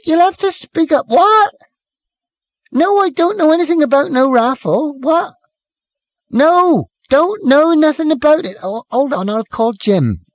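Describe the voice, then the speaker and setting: A man voicing a flustered young barmaid, shouting over a noisy pub. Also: very high-pitched, lively intonation, measured pace, no echo, pitched above the performer's own voice, full character voice. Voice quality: loud, shouting over noise. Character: shrill young British barmaid, flustered and harried.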